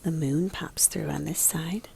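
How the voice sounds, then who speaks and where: A woman art teacher leading a picture book lesson for young children in a guiding way, with a breathy, husky voice. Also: sits low, fairly even intonation, unhurried, darkish tone, a little hiss or hum. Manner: guiding, prompts listeners with questions.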